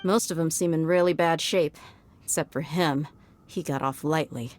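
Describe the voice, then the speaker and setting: A woman's voice: deep voice, normal speed, a little mellow, faint music behind, lightly acted. An actress playing a tough young mercenary woman in a video game, cool, sardonic and casual. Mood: wry.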